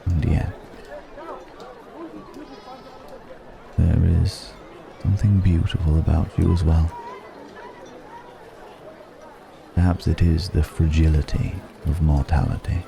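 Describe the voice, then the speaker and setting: A man playing a mysterious, philosophical ancient dragon disguised as a stranger, speaking in an intimate ASMR roleplay with long, pensive pauses. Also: extremely low pitch, little pitch movement, gravelly, music in the background, crowd noise. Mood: wistful, intrigued. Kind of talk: ASMR roleplay, slow intimate dramatic monologue.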